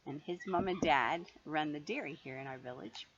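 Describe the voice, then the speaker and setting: A mature woman reading a picture book aloud to a child in an animated, playful way, with chatty asides. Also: low-pitched, normal speed, slightly rough. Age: middle-aged or older. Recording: dull lo-fi home recording, faint noise.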